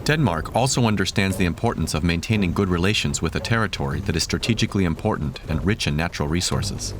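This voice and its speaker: A man reading scripted documentary narration in a measured, even tone. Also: deep voice, background noise.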